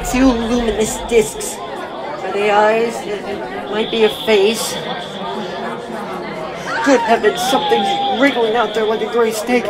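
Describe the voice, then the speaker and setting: An excitable eyewitness reporter acted by a solo performer in an old-time radio play. The delivery is urgent and stammering, full of awe and dread, at a medium pitch. A loud crowd murmurs behind. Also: slow pace, pauses often. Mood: horrified. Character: excitable radio field reporter, awed and horrified.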